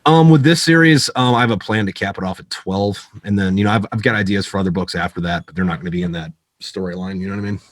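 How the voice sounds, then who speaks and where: A man's voice: low voice, fast, hardly any pauses, no echo, studio quality. An American man, a military veteran and guest author, telling stories in casual, profane, slangy podcast chat with plenty of filler. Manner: salty, frequent profanity, slangy filler-heavy delivery.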